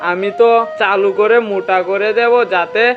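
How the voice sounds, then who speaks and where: A practical village man from a cartoon, played by an actor with a notably high voice. He explains matter-of-factly on a dull recording with faint music behind him. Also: fairly even intonation, moderate pace, lightly acted. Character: practical village man, cattle buyer and paid animal doctor. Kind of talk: cartoon dialogue.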